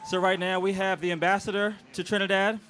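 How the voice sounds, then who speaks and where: A man's voice: high-pitched, little pitch movement, fairly quick, fairly bright tone. A man emceeing an outdoor community celebration, hyping up the crowd as he introduces speakers. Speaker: master of ceremonies. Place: outdoors on a city street.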